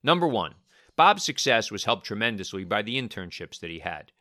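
A man hosts a podcast in a fairly low voice with a bright, forward edge. The sound is very dry, and his delivery is conversational, like an interviewer addressing guest and listeners. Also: sing-song, brisk, no echo.

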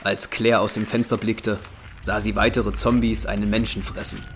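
A man's voice: on the low side, level tone, normal speed, a bit bright, slight background noise. A man narrating an audio drama from a script in a dramatic style.

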